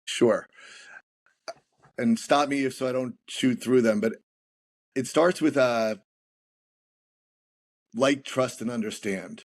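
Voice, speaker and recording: A man who is a guest on a business podcast, explaining his methods in a steady way while often repeating words as he restarts. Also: mid-range voice, slow pace, pauses often. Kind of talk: interview answers on leadership.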